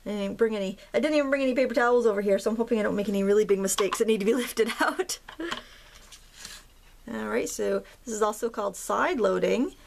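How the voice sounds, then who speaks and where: A woman hosting a craft tutorial, chatting in a relaxed, rambling way as she paints. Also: mid-range voice, quick pace, creaky, slight background noise. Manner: relaxed, rambling, with fillers and soft laughs. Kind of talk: casual chatty tutorial narration.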